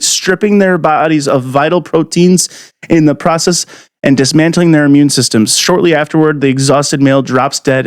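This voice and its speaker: Loose, profane guy, slightly high voice. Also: clear, resonant tone, no room sound, studio quality. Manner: loose and profane, calls people dude, laughs often. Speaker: podcast co-host. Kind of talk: casual crude comedy chat.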